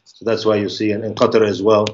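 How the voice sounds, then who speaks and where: A man's voice: fairly low pitch, flat delivery, fast, a little mellow, a little hiss or hum. An American man in his forties, an Islamic lecturer, talking through a video call and answering interview questions with lots of restarts and Arabic religious phrases. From United States (Virginia). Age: 40s. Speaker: guest speaker, Islamic lecturer. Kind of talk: live online interview. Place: indoor room, plain wall. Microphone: video call webcam. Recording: muffled lo-fi video call audio.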